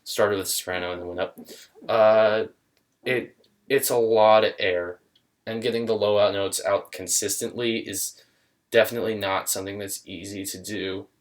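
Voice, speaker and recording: A man's voice: lowish voice, steady tone, moderate pace. A young man talking casually to camera in a small room, thinking aloud with many fillers and restarts. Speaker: musician demonstrating an instrument.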